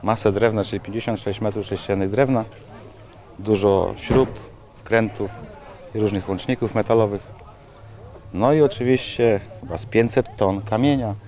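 Middle-aged builder, low pitch, speaks plainly outdoors into a reporter's mic. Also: male voice, a little mellow. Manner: plain, hands-on, repeats himself. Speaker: reenactment group builder. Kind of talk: outdoor news interview. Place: outdoors at an event site. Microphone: handheld reporter mic.

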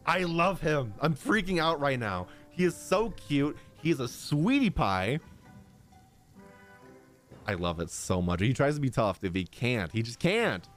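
A man's voice: medium pitch, sing-song, a little slow, a bit bright. A man in his thirties giving casual, slangy, emphatic reaction commentary as a game streamer, close on a desk microphone. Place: small room with streaming setup. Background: faint game music.

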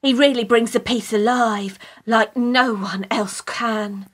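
A woman's voice: a little high, fairly even intonation, slow pace, fairly bright tone. A British woman playing an earnest, starstruck theatre fan in a radio play, prim and gushing.

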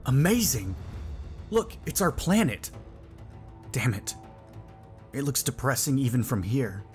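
A man's voice: medium pitch, big pitch swings, a little slow, a little mellow. A man acting an anxious spaceship survivor. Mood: awed, dismayed. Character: anxious, urgent survivor aboard an alien ship. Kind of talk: dramatic sci-fi audio drama acting. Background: music.

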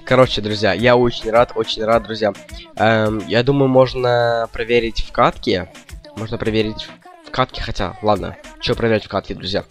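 Young male gaming host, lowish voice, casual and indecisive, faint game music behind. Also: steady tone, normal speed. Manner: slangy, indecisive rambling to viewers. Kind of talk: casual gameplay commentary.

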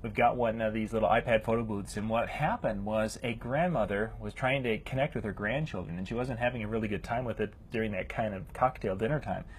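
A middle-aged man, a DJ, telling a touching story in a warm, storytelling way over a webcam at home. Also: lowish voice, brisk, hardly any pauses, slight background noise. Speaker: DJ with photo booth. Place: home room.

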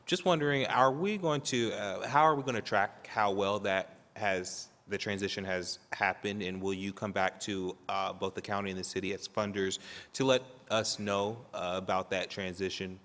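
A man's voice: deep voice, fairly even intonation, normal speed, fairly bright tone. A man serving as council president, polite and procedural, reading ordinance text aloud into a desk microphone. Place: large city council chamber. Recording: long reverb of a large chamber.

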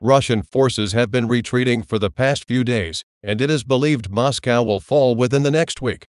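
A man reading a scripted news report as a presenter in a formal, measured way. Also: mid-range voice, dry recording, clean studio sound.

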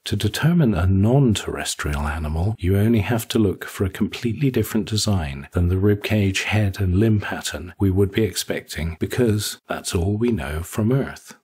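A man reading scripted audiobook narration in a raspy voice. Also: lowish voice, darkish tone.

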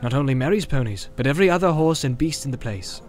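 A man's voice: mid-range voice, expressive, fast, darkish tone. A man narrating an audiobook in a dramatic storytelling style.

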